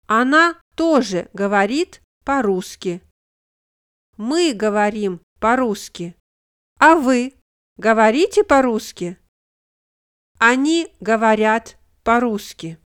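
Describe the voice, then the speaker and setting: A woman narrating a scripted language lesson, speaking model phrases slowly and with clear enunciation. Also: fairly high pitch, fairly bright tone.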